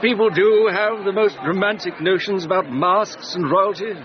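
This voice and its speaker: A man's voice: high voice, normal speed, natural voice. An actor playing a genial older king in a radio period drama, making regal, slightly hesitant small talk. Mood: genial, curious. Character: affable, chuckling, inquisitive older English king. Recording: muffled, outdoor crowd behind.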